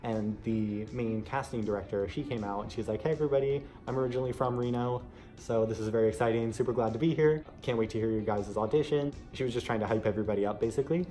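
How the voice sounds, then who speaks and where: A man's voice: medium pitch, fast, warm tone, soft background music. A young American man telling a story casually to camera in a chatty, conversational way. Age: young adult. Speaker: vlogger sharing an audition experience.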